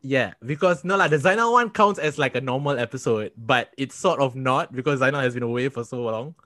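A young Singaporean man with a Singaporean accent, hosting a podcast in animated, teasing casual chat with stumbling restarts. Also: medium pitch, brisk, no room sound, clean studio sound. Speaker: podcast host.

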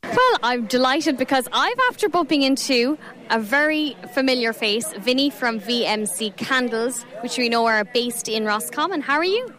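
A young Irish woman presenting radio on location at an outdoor show, bubbly and effusive with everyone she meets. Her voice sits a little high, and the talk is loose and unscripted. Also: expressive, moderate pace, fairly bright tone.

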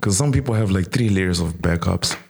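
A man's voice: low voice, fairly quick, creaky, darkish tone, husky. A young man hosting a casual talk, switching between English and Portuguese mid-sentence. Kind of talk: casual chat.

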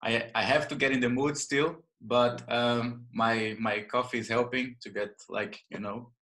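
A man from northern Brazil with a Brazilian accent, telling his story as an interview guest, halting with many fillers and restarts. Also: mid-range voice, fairly even intonation, a bit bright. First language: Portuguese. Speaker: guest, jiu-jitsu and yoga teacher. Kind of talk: casual interview.

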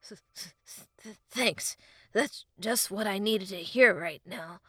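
A young adventurer voiced by a woman speaks through shivers in a bright, tense voice. He is weary and a little sarcastic, stammering in a fantasy storybook tale. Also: medium pitch, slow pace, breathy edge, no room sound, studio quality. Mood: weary sarcasm.

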